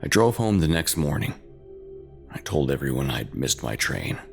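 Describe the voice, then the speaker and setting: A man narrating a scripted first-person horror tale in short, halting phrases with an ominous, dramatic manner. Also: a bass voice, big pitch swings, vocal fry, faint music behind.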